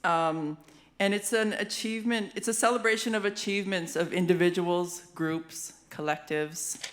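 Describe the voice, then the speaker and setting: A woman's voice: lowish voice, moderate pace. The Canadian woman emceeing a local arts awards night, chatting to the room in a playful, giggly, casual way. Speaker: master of ceremonies at an awards show; hip hop artist, rapper. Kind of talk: casual stage banter.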